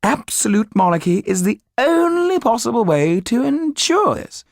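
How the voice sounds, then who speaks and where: A man's voice: very high voice, very animated, moderate pace, creaky, no room sound, clean studio sound, pitched above the performer's own voice, exaggerated voice. A man voicing a haughty, indignant aristocratic lady from Paris in an audiobook story. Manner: imperious, emphatic.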